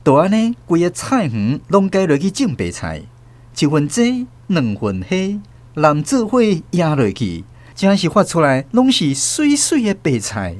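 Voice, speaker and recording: 一位男主持人以台語口音朗讀小說旁白，語調生動。. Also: male voice, fairly high pitch, wildly swooping pitch, a little slow, darkish tone, no room sound. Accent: Taiwanese Hokkien. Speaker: host and narrator. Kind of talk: scripted storytelling narration.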